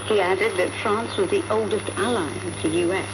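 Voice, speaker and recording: A woman's voice: mid-range voice, moderate pace. A woman reading a formal scripted news bulletin on the radio. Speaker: newsreader. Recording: noisy shortwave radio broadcast.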